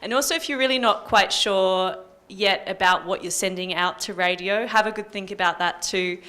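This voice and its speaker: A woman giving practical, measured advice in casual panel talk. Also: mid-range voice, bright, forceful tone. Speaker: community radio panelist.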